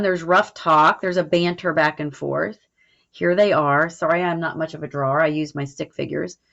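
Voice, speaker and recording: A woman's voice: on the low side, creaky, fairly bright tone. A woman teacher explaining a recorded lesson in an informal way, checking in as she goes. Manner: informal, explanatory, frequent "all right" check-ins. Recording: dull, lo-fi audio.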